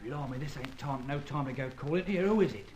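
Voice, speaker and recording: A man's voice: medium pitch, fast talker, background noise, close to an everyday voice. An actor with a Cockney accent playing a cheerful, loyal old ex-safecracker in a vintage radio drama, chuckling as he talks. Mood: suspicious. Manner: chuckling, folksy. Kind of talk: 1940s radio acting.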